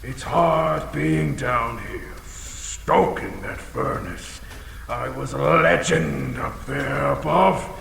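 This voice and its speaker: A man voicing a battle-scarred old dragon in an audio drama, fiercely proud and bombastic, with a gravelly, folksy delivery. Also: medium pitch, slow pace, fairly bright tone, heavily acted. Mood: earnest, fatherly. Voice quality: gravelly, creaky. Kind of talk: audio drama character acting. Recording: reverberant chamber, some background noise.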